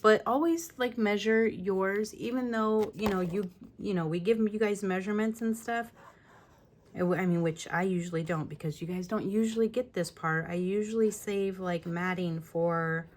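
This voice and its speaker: A woman with a lingering raspy voice talking casually through a scrapbooking tutorial at home, with chatty, apologetic asides while she works. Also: mid-range voice, normal speed. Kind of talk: casual crafting tutorial talk. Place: home craft room. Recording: faint room noise from fan. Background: fan running.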